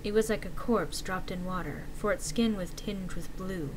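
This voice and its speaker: A woman voicing a frightened young man who recounts a horror encounter in a theatrical, deliberate dramatic reading. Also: lowish voice, noisy background, natural voice. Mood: dread, horror.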